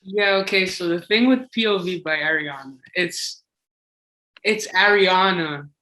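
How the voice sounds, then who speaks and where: A man's voice: high voice, measured pace, bright, forceful tone. A young man, a singing contestant, answering interview questions in an eager, chatty way with lots of filler words. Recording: echoey room.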